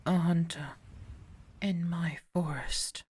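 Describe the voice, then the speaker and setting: A woman playing a hulking, once-human beast in a gothic audio drama, with a rough, strained, halting voice. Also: on the low side, steady tone, noisy background. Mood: menacing, suspicious.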